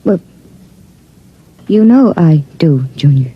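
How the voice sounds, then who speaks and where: An actress playing a nervous, guarded gangster's moll in an old-time radio drama. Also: female voice, deep voice, expressive, takes it slowly, dull audio, a little hiss or hum. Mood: wary, guarded. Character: jittery, wary gangster's moll, uneasy and evasive.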